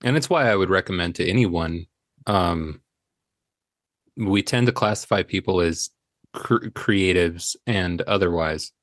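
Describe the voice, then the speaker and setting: Deep-voiced man in reflective conversation. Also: measured pace, no echo, clean studio sound. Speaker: podcast guest, indie audio drama creator. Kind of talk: reflective casual interview talk.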